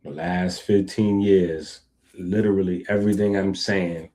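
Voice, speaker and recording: Laid-back man riffing with a low voice. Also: a little slow, a little hiss or hum. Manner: animated, laughing asides, conversational fillers. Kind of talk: casual monologue.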